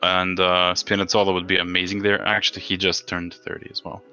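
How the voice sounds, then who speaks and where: A man's voice: deep voice, level tone, brisk, bright, forceful tone, clean tone, lo-fi sound, faint music behind. A man hosting a casual football podcast, rambling and frustrated, swearing freely. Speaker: podcast host.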